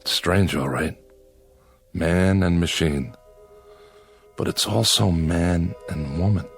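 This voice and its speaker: A man's voice: sits low, slow pace, creaky, slightly rough, soft background music, exaggerated voice. An actor playing a lonely man devoted to his android companion, by turns yearning and defensive, in dramatic radio style. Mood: wistful, confessional. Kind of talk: dramatic radio acting with confessional narration.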